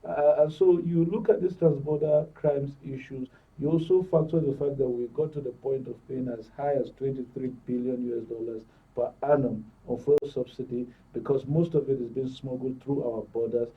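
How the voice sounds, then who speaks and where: Husky Nigerian man, formal analyst, muffled recording. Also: mid-range voice, fairly even intonation. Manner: measured, formal, wordy. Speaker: studio guest, security commentator. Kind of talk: current affairs panel analysis. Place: broadcast studio panel. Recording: distant mic, dull muffled audio.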